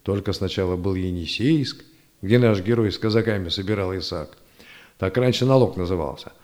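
An actor playing a grandfather who tells history in a folksy, animated, gently teasing way in an audio play. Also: low-pitched, normal speed, natural voice. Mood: animated storytelling. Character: old grandfather storyteller teaching his grandchild, lively and gently chiding. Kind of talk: audio play storytelling narration.

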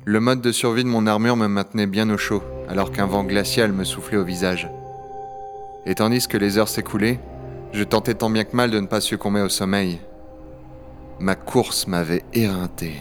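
A man's voice: on the low side, fairly even intonation, normal speed, music in the background, close to an everyday voice. A man playing a sceptical dragon hunter who also narrates in a science-fiction audio drama, blunt, sardonic and colloquial. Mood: weary, reflective. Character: Owen, gruff, sceptical male dragon hunter. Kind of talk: French audio drama acting and first-person narration.

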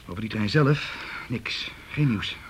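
An actor playing an uneasy, watchful young man, speaking colloquially and low-key in a radio drama. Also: lively intonation, unhurried, background noise. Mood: uneasy, confiding. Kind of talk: naturalistic Dutch radio drama acting.

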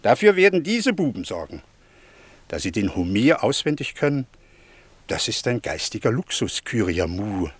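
A man's voice: fairly low pitch, big pitch swings, normal speed, slightly rough, dry recording. An actor playing an emphatic, opinionated middle-aged Greek townsman in a German radio play, speaking in eloquent, declamatory phrases. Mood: emphatic, impassioned. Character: opinionated Greek townsman, fond of his noisy city.

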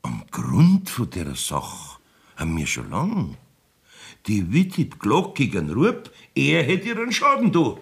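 A man's voice: medium pitch, wildly swooping pitch, slow, gravelly, husky, heavily acted. A man playing a wily rustic village judge in a dialect radio play, evasive and self-serving, with a Bavarian accent. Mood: defensive. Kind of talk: dialect radio drama acting.

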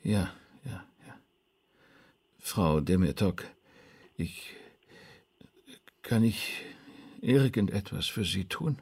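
An actor playing a concerned middle-aged rabbi in a German radio drama, speaking gently and hesitantly in a husky voice. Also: male voice, sits low, animated, extremely slow, pauses often, heavily acted.

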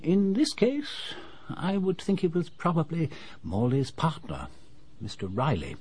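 An actor playing an unassuming but knowing retired English civil servant, older, genteel and confidential, in a radio drama. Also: male voice, fairly high pitch, huge pitch swings, a little slow, a little mellow, husky, heavily acted. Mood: knowing, conspiratorial.

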